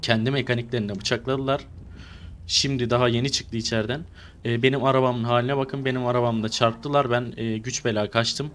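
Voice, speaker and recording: A man's voice: mid-range voice, steady tone, brisk, a bit bright. A man chatting casually in role-play as he streams an online game.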